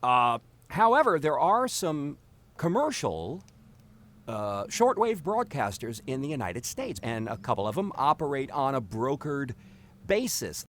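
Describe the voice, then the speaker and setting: A man chatting casually and telling a story, with halting repetitions and acted-out reported conversations. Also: mid-range voice, big pitch swings, fairly bright tone, a little hiss or hum. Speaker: podcast cast member. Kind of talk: animated casual group chat, storytelling.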